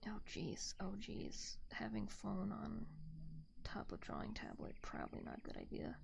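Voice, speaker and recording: Gentle, creaky-voiced woman chatting on stream. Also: mid-range voice, huge pitch swings, moderate pace. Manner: gentle, soft-spoken, rambling, often slipping toward a whisper. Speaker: livestreamer, host of an art stream. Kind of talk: casual livestream chat.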